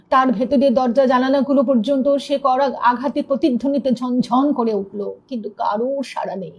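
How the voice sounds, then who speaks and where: A woman storyteller reading a ghost story aloud in an expressive, suspenseful narrating manner. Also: high voice, breathy edge.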